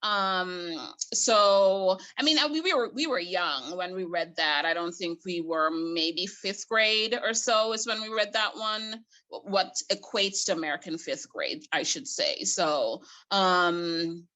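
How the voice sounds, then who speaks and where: Woman from Guyana, librarian guest, bright tone, hesitant and reflective. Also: lowish voice, slow, few pauses. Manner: hesitant, thoughtful, many fillers and restarts. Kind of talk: casual interview chat.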